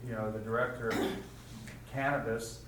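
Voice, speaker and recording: A man's voice: mid-range voice, little pitch movement, moderate pace. A man at a public meeting, speaking bluntly and slipping in joking asides. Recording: very echoey room, distant mic, noisy.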